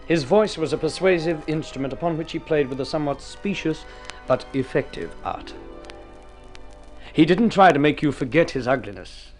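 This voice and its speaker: Male actor as fussy intellectual gentleman, highish animated voice, wordy and pompous, vintage radio play. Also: moderate pace, faint music behind, close to an everyday voice. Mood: reflective narration. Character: urbane, talkative gentleman narrator, fussy intellectual. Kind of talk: mid-century radio drama acting. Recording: vintage radio drama recording.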